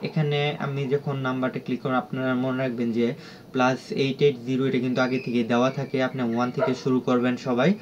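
A man with a Bangladeshi accent giving an unscripted app walkthrough as a tutorial presenter, speaking in a halting, repetitive way. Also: mid-range voice, little pitch movement, darkish tone. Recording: dull lo-fi audio with clear noise.

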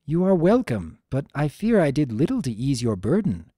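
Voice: medium pitch, very animated, normal speed, warm tone, dry recording, studio quality. A narrator voicing a formal, earnest female pony princess in a dramatic story reading, with archaic, stately diction. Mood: warm, wistful.

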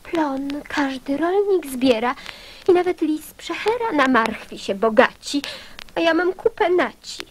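An actress playing a proud, plucky, fretful little swallow in a children's radio play, speaking theatrical verse on a noisy recording. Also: female voice, sits high, lively intonation, measured pace. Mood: aggrieved.

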